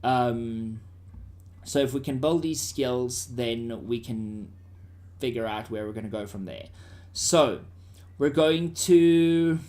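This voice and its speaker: A man with a South African accent teaching students in an informal, conversational way, often checking that they follow. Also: mid-range voice. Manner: informal, checks listeners' understanding.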